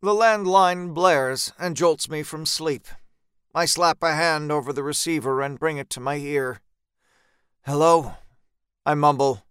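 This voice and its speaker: A man narrates a dark first-person tale with measured tension. His voice is smooth and resonant, sitting a little high for a man. The recording is very dry and close, with every word cleanly shaped. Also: no echo. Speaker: story narrator. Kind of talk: dramatic first-person horror audiobook narration.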